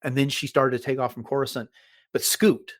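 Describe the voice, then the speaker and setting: A man with a mid-pitched voice talks as a podcast host. He recaps and reviews in a casual, conversational style, thinking aloud as he goes. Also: steady tone, a little fast.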